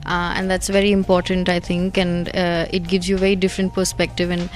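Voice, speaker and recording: A woman, a debut lead actress, answering interview questions carefully and pausing often. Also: fairly low pitch, monotone, faint music behind.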